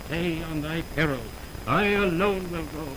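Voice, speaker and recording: An actor playing a scornful young lover in stern, theatrical verse for a 1920s radio Shakespeare play. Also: male voice, on the high side, a little slow, natural voice. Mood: scornful, impatient. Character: scornful young male lover fleeing an adoring woman. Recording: noisy 1929 radio aircheck with hiss.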